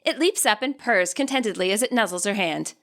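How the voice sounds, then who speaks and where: A woman reading scripted present-tense fiction as an audiobook narrator, with an expressive storyteller delivery. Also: mid-range voice, brisk.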